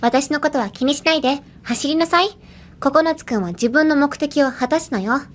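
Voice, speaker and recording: A woman's voice: sits high, racing, pitched above the performer's own voice, close to an everyday voice. A woman voicing a spirited young anime girl who dramatically urges a friend to keep going. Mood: urging. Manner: dramatic, encouraging.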